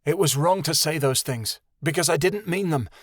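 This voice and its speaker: A man's voice: a little high, moderate pace, no echo, studio quality, pitched above the performer's own voice, close to an everyday voice. A man voicing a brooding young man who turns away firmly.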